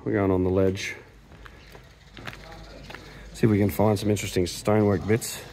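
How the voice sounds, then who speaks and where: A man with a fairly low voice hosts a walkthrough. He talks informally and without a script, as if showing friends around a site. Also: little pitch movement, normal speed, dark tone. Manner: informal, unscripted asides. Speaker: host of an exploration video, tour leader. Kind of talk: casual walkthrough commentary.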